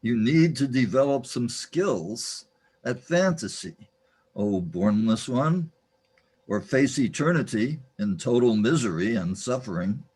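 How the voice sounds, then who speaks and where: A man's voice: medium pitch, lively intonation, unhurried, darkish tone, husky. A man playing a philosophical, scolding guide in a spirit realm for a sci-fi radio drama. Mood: lecturing.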